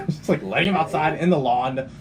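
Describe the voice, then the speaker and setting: A man's voice: a little high, lively intonation, fairly quick, a loud crowd. A man hosting a radio show with casual, jokey and teasing banter. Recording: noisy room, distant mic.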